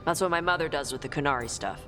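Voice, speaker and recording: A woman voicing a blunt young dragon hunter who talks in short, gruff, dismissive lines in a game cutscene. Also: deep voice, fast talker, fairly bright tone, faint music behind. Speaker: treasure hunter. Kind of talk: video game cutscene dialogue.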